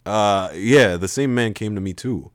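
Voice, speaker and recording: A man voicing an earnest, excitable and easily exasperated hero in an audio story, expressive and emphatic. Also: lowish voice, measured pace, no echo, studio quality, natural voice.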